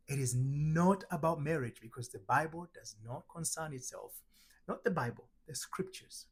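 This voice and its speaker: A middle-aged man from Tanzania giving a spiritual teaching talk, slow and emphatic, asking rhetorical questions. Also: medium pitch, husky. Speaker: identity transformation coach.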